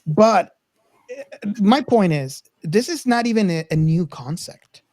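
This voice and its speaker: A man's voice: high-pitched, expressive, measured pace, darkish tone, no echo, clean studio sound. A man hosting a casual film discussion, rambling and circling back over his phrases as he talks. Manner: rambling, repeats and restarts phrases. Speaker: podcast host.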